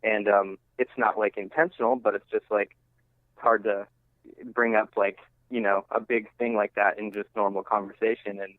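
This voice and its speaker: Low-voiced man on a phone-like line. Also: flat delivery, dry recording. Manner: halting, informal, rambling thought by thought. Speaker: interviewed singer-songwriter and music teacher. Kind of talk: casual radio interview talk. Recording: thin phone-line sound.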